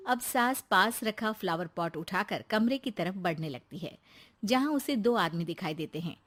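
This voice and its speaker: A woman narrates a cartoon story in an even, scripted storytelling manner. Her voice sits at an ordinary middle pitch. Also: moderate pace.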